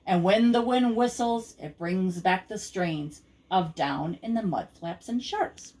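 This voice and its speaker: An older woman reads a children's picture book in verse aloud. Her voice sits at a medium pitch for a woman. She takes her time, keeping a slow, measured rhythm that leans on the rhymes. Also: darkish tone, a little hiss or hum. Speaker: grandmother reading to children.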